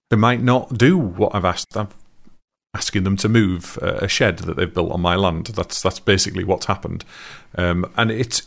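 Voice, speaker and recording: A man's voice: fairly low pitch, vocal fry. A man talking things through in a casual chat, earnest and thoughtful, often restarting his sentences.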